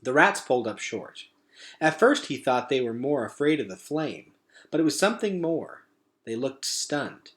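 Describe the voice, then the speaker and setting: A man's voice: mid-range voice, sing-song, normal speed, a bit bright. A man narrating a children's novel expressively as a read-aloud storyteller.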